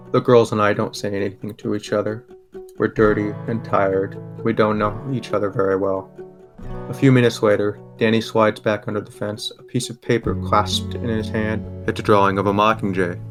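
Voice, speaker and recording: A man narrating a first-person audio drama in a measured, understated way. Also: fairly low pitch.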